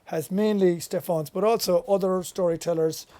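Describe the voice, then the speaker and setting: A man's voice: sits high, fairly even intonation, moderate pace, dark tone, a little hiss or hum. An Irish man, a storyteller, giving an informal spoken presentation on local heritage.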